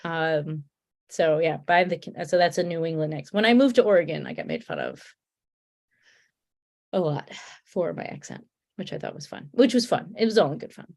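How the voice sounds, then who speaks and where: A woman's voice: sits low, lively intonation, a little fast, darkish tone. A woman with a Connecticut accent, a guest chatting casually and rambling with restarts. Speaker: podcast guest, beer comic artist. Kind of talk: casual chat.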